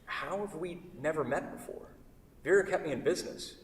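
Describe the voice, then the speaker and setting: A man's voice: on the high side, fairly quick, a little hiss or hum, close to an everyday voice. A man in a staged play reading, playing a solitary woodworking artist who is polite, guarded and hesitant. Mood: polite, flustered. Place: theatre stage. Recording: very echoey hall, distant microphone.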